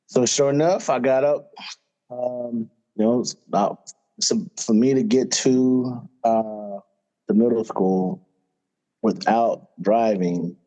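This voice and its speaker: A middle-aged man from Florida, a wrestling coach, talking as a podcast guest in a relaxed, casual storytelling way with plenty of fillers. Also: mid-range voice, takes it slowly, rough recording. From Florida, USA. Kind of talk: casual interview talk.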